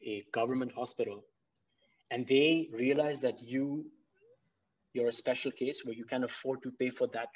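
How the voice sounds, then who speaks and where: A man's voice: mid-range voice, a little mellow, slightly rough. Earnest man talking in restarts, muffled. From Pakistan. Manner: earnest, repeats words while thinking. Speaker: podcast guest. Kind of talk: casual conversation.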